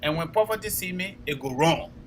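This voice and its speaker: A man's voice: on the high side, expressive, a little fast, a bit bright, a little hiss or hum. A man with a Liberian accent giving impassioned political commentary, speaking emphatically and exhorting listeners with repeated phrases. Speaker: co-host of a political talk show.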